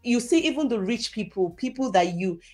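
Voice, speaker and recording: A woman's voice: fairly high pitch, animated, a little fast, darkish tone, faint music behind. Woman host in conversational debate.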